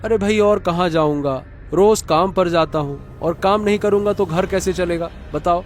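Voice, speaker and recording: A man's voice: sits high, normal speed, faint music behind, lightly acted. A man acting an evasive, dismissive working father in a Hindi cartoon moral story. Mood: placating, exasperated.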